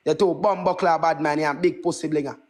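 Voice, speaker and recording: A man's voice: on the high side, steady tone, a little fast, soft background music. A Jamaican man in his twenties who speaks Patois in a brash, boastful, repetitive way, ranting casually on a livestream. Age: 20s. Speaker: livestream host.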